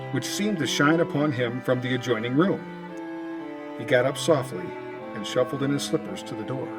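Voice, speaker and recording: A man narrating theatrically in the style of an old-time radio drama. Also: mid-range voice.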